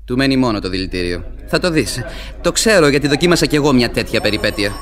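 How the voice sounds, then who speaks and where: An actor playing a sardonic, scolding young medical student in a Greek radio theatre play. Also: male voice, mid-range voice, animated, close to an everyday voice. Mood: cynical, warning. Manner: biting, scolding.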